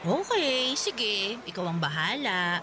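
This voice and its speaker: A woman's voice: mid-range voice, sing-song, slow. An actress playing a blunt, meddling young female friend in a radio drama, teasing and pushy. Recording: clear background noise.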